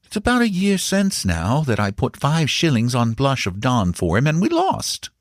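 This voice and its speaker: A man's voice: medium pitch, huge pitch swings, normal speed, no echo, studio quality, voice pushed up. A man voicing a respectful, confiding male servant in a storybook, reverent about his master. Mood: respectful, chatty. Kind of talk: storybook character dialogue.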